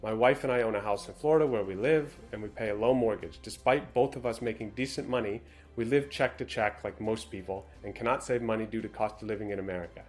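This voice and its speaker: This is a man co-hosting a relaxed talk show, running through a listener's letter at a fast clip. His voice sits a bit low, and he talks in a brisk, matter-of-fact way. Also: soft background music. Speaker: co-host. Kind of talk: casual podcast chat, reading a viewer letter aloud.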